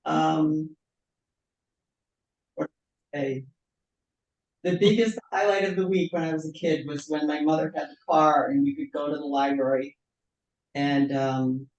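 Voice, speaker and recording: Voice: mid-range voice, fairly even intonation, unhurried, pauses often, darkish tone. Older local resident, rambling casual intro, dull lo-fi audio with echo. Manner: rambling, reminiscing. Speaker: meeting attendee. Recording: dull, echoey, far from mic.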